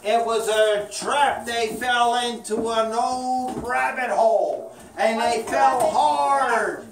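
Casting: woman reading and quizzing for kids, unhurried, recorded far off in a roomy, noisy space. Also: fairly high pitch, expressive. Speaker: children's puppet show leader and narrator. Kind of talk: reading and quizzing children aloud. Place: small indoor classroom with children. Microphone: distant camera microphone. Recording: echoey room, far mic, clear noise.

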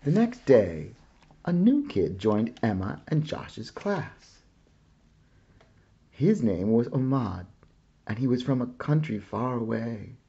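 A man reads a children's picture book aloud, slow and expressive. His voice sits low and husky, with warm, mellow tones and a lively rise and fall. The recording sounds a little dull and lo-fi.

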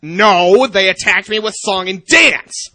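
Man playing a sharp, sarcastic secret agent. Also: high-pitched, slow pace, no room sound, heavily acted. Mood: irritated. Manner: sarcastic, exasperated. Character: irritable, foul-mouthed young male secret agent.

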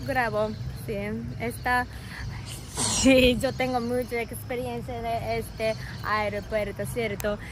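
Japanese-native woman, high-voiced travel vlogger, slow hesitant Spanish over loud airport noise. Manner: halting learner's Spanish, frequent fillers. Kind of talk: casual travel vlog narration. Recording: noisy airport background.

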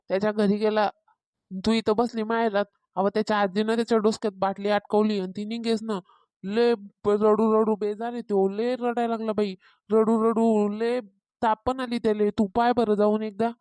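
A woman's voice: medium pitch, little pitch movement, normal speed, a little mellow, no echo, close to an everyday voice. A woman voicing a meddling, insistent older village gossip in a cartoon comedy, with a rural Maharashtrian accent. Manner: insistent, tattling. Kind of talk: Marathi cartoon comedy dialogue.

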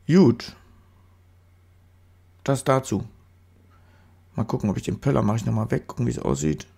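A man's voice: medium pitch, big pitch swings, moderate pace, gravelly, dark tone, husky. A man with a stuffy nose from a cold, chatting casually through a photo-editing tutorial voice-over, rambling and correcting himself as he goes. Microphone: studio microphone.

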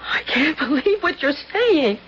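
Distraught young woman, high voice, halting pleas, old radio drama, dull sound. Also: very animated, fairly bright tone, full character voice. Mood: hurt, pleading. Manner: halting, pleading, breaking off sentences. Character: distraught, lovelorn young woman, a professor's daughter. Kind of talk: 1970s radio drama acting. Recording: dull, lightly hissy vintage radio recording.

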